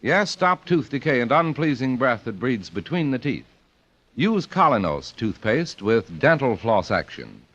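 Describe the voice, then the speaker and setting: A male American announcer delivers scripted copy in vintage 1940s radio fashion. He is polished and emphatic, with lively intonation. The recording sounds dull and aged. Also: mid-range voice, unhurried. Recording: muffled old radio recording.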